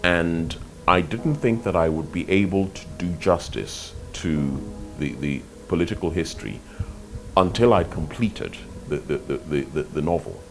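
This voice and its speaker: An older man from Nigeria, an author answering interview questions in a measured, articulate way, often repeating words as he thinks. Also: low-pitched, expressive, over music. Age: 50s or older. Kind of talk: reflective interview talk.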